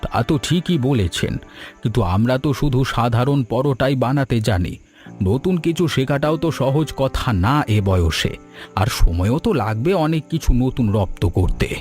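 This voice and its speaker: A man's voice: mid-range voice, lively intonation, moderate pace, darkish tone, faint music behind, natural voice. A man voicing a humble, proud old grandfather who runs a food stall, speaking deferentially in a cartoon story. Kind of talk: animated cartoon story dialogue.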